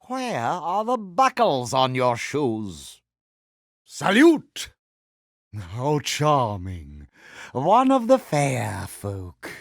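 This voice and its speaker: Vain pirate villain, British accent, highish swooping pitch, grandiose, mannered, theatrical game voice. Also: male voice, fairly bright tone, dry recording, studio quality, exaggerated voice. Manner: pompous, grandiose, mannered formal speech. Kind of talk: theatrical video game character voice acting.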